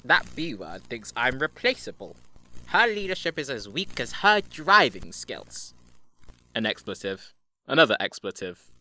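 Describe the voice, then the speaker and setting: An actor playing a wry, sardonic agent interrogating a prisoner in an audio drama. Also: male voice, medium pitch, big pitch swings, a little slow, pushed and bright, heavily acted, rough recording.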